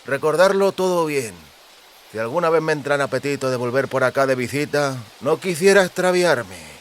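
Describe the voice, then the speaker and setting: A man's voice: mid-range voice, expressive, normal speed, slight background noise, natural voice. A man voicing a greedy, mocking brigand with a lisping, lip-smacking delivery in an audiobook. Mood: leering menace. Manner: mocking, menacing. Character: greedy lisping male brigand, lecherous and smirking.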